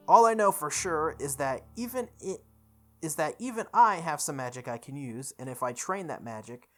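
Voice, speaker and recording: Game playthrough reader over clear music. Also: medium pitch, fairly bright tone. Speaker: video game playthrough host. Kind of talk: reading visual novel narration aloud. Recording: background music behind the voice.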